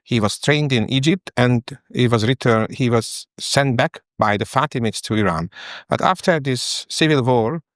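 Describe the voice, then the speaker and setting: A man from Hungary with a Hungarian accent, a professor giving formal, somewhat halting scholarly explanations as a guest. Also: medium pitch, no room sound, studio quality. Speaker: history professor, interview guest.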